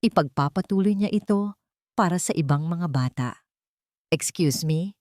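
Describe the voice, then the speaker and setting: Expressive woman telling a story. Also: on the low side, moderate pace, no echo, clean studio sound. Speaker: audiobook narrator. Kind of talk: scripted story narration.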